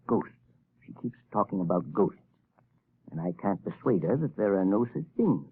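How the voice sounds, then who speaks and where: An actor playing a nervous, evasive male professor, stammering and husky in a 1930s radio drama. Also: lowish voice, very animated, normal speed, full character voice. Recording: tinny 1930s radio broadcast.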